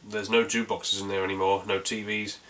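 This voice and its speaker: Bright-voiced North Yorkshire man reviewing beer. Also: fairly low pitch, level tone, a little fast. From North Yorkshire, England. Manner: chatty and rambling, with frequent fillers and restarts. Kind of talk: casual video beer review.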